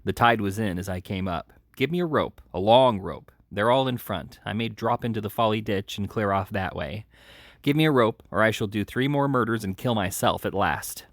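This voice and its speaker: A man reading an audiobook, voicing a brutal, desperate murderer with a gruff, fierce manner. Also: fairly low pitch, steady tone, normal speed, hardly any pauses, fairly bright tone, no room sound, clean studio sound.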